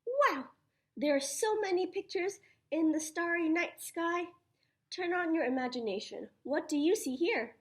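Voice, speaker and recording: A woman preschool teacher reading a children's science picture book aloud, putting questions to her young listeners in an animated, encouraging way. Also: very high-pitched, a little slow. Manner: child-directed, asks questions and answers them herself.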